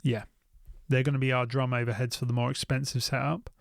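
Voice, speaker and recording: A British man talks through gear in a casual, unscripted way. His mid-pitched voice has plenty of vocal fry, and the sound is close and dry. He thinks aloud and tends to repeat short phrases. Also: flat delivery, fairly quick, dry recording. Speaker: YouTube gear reviewer. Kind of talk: casual unscripted shopping commentary.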